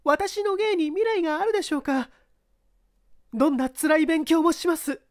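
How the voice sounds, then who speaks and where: Woman acting as an earnest, high-voiced young actress. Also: expressive, moderate pace, no echo, clean studio sound. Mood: desperate pleading. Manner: polite, earnest, pleading. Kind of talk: dramatised audiobook reading.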